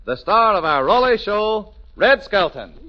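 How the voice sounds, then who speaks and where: A man announcing a 1940s radio show with a polished, booming delivery. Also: very animated, a little slow, heavy background noise. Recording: dull old radio broadcast.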